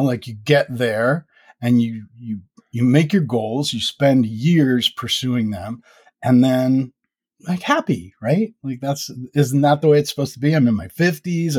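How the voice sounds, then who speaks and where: Husky, reflective man in his fifties. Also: mid-range voice, darkish tone. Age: 50s. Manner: reflective, rambling with many fillers. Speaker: podcast host and guest. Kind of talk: casual interview conversation.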